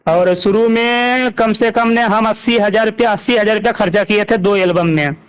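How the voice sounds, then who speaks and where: Male interviewee, high voice, Bhojpuri-belt Hindi accent, conversational, emphatic, often repeats points and checks the listener follows. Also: normal speed, clear, resonant tone. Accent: Bhojpuri-Magahi belt Hindi, eastern India. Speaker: singer being interviewed.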